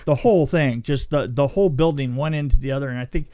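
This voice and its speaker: A man's voice: mid-range voice, fast talker, mellow, no echo. A man casually telling anecdotes from film and TV work as a guest, chatty and given to acting out remarks.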